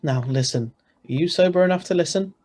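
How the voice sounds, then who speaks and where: A man's voice: high voice, a little fast, darkish tone. Man playing a tense, protective ordinary husband. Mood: urgent. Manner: urgent, plain-spoken. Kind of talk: 1940s-style radio drama acting and narration. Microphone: video call.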